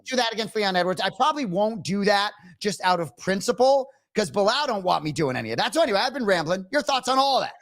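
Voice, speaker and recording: Animated, nasal man with a slight cold. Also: sits high, fast, few pauses. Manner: rambling, animated, peppered with "right?". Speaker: co-host. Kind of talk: casual sports radio chat.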